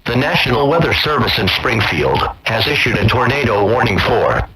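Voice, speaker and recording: A man's voice: medium pitch, big pitch swings, moderate pace, a loud crowd, rough recording. A man reading a formal scripted emergency weather warning through an alert radio filter. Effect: filtered alert radio effect.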